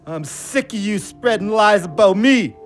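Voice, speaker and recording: A hot-tempered ex-convict in an audio drama, resentful and on the defensive. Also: male voice, sits high, animated, a little slow, faint music behind.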